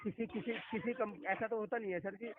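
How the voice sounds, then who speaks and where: A man's voice: high voice, fairly even intonation, a little fast, a crowd in the background. A man working as a loan company phone agent, arguing insistently and often restarting and repeating his phrases. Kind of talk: argumentative phone conversation. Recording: noisy narrowband phone call recording.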